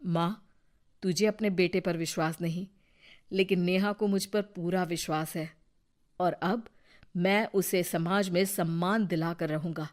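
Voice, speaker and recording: A woman's voice: lowish voice, steady tone, unhurried, lightly acted. A woman playing an earnest, determined young man, a wounded son, in a Hindi moral story. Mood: proud resolve.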